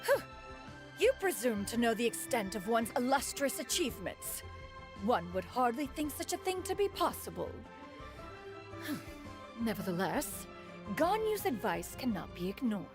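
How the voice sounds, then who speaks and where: A woman's voice: a little high, very animated, a little slow, over music. A woman voicing a proud, dignified ancient immortal in a fantasy video game, with a formal, haughty, motherly manner and archaic wording.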